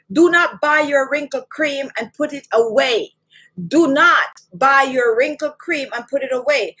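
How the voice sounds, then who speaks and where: A woman's voice: high voice, a bit bright. A middle-aged Jamaican woman from Jamaica, hosting a skincare tutorial to camera, chatty and emphatic, repeating her key points. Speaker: beauty channel host.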